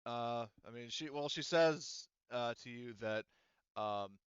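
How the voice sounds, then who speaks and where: A man's voice: mid-range voice, takes it slowly, clean tone, no room sound, dull audio. A man running a tabletop game as game master, talking casually with fillers and casual swearing.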